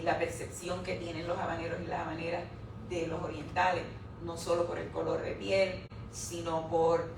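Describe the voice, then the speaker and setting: A woman's voice: lowish voice, gravelly. A middle-aged woman, standing to present her research chapter to an audience at a book presentation, explaining it step by step. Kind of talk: academic talk at a book presentation. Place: room at a live presentation event. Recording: echoey room, distant mic, background noise.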